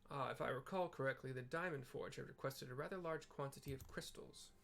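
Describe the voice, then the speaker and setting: A man's voice: medium pitch, fairly quick, gravelly, fairly bright tone. A man reading a genial knight lord.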